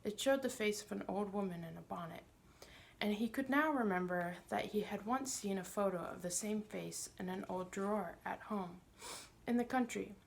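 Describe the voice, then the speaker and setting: A woman's voice: medium pitch, normal speed, creaky. A woman narrating a children's novel, reading it aloud as a storybook reader.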